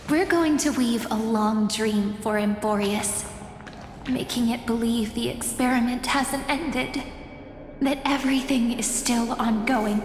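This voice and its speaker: Woman voicing a sweet teasing young game heroine, slow n dreamy, highish voice, big reverb with music. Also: a little hiss or hum, close to an everyday voice. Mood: solemn, resolute. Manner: gentle, dreamy, teasing, unhurried. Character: playful, tender young heroine facing her fate with gentle cheer. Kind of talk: dramatic video game voice acting. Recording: echoey long reverb, music behind.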